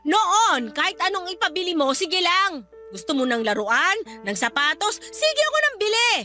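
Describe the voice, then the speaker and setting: A woman's voice: very high-pitched, sing-song, normal speed, pushed and bright, faint music behind, heavily acted. A woman playing a bitter, domineering mother in a Filipino radio drama, scornful and accusing as she nags her daughter. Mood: scornful, reproachful.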